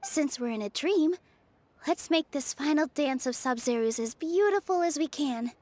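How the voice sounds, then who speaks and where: A woman voicing a gentle, earnest young festival dancer in a video game. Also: high voice, animated, moderate pace. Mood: gentle, wistful. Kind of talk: video game character acting.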